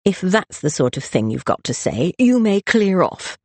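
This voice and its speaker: A woman voicing a haughty, hot-tempered young aristocratic daughter in an audiobook, sharp and indignant in manner. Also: a bass voice, sing-song, normal speed, breathy edge, dry recording, heavily acted. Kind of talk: dramatised audiobook character voice.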